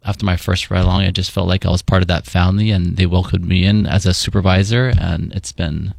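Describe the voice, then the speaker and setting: Deep gravelly man from Hawaii. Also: fast, no echo, clean studio sound. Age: 40s. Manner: relaxed, thoughtful, with many fillers. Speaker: guest, paramedic supervisor. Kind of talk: casual interview in studio. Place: radio studio.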